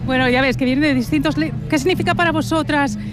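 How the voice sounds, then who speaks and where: A woman reporter speaks quickly in a high voice, brisk and friendly as she talks with people on location. Light music runs faintly underneath. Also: fairly even intonation. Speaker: street reporter. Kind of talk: live on-location interview.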